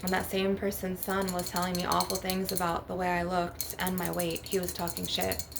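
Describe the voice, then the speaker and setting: A woman with a rather low voice that often crackles into fry. She rambles casually, blunt and aggrieved, swearing freely. Clear background noise and clinking glass taps run behind her. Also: monotone, moderate pace. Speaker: ASMR video creator. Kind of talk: casual rambling monologue over ASMR tapping. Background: glass and crystal tapping.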